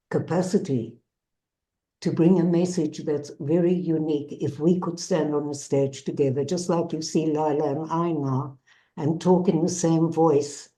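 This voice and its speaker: An older woman with a South African accent gives a measured, earnest personal testimony as a bereaved mother and peace activist. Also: low voice, warm tone, low-quality recording. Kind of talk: personal testimony to an online audience.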